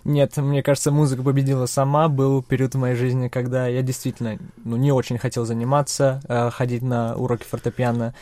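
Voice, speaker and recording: Soft-spoken young man, thoughtful. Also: medium pitch, level tone, dry recording. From Czech Republic. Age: young adult. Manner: measured, hesitant, many fillers. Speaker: interviewee, young composer. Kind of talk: thoughtful interview answers.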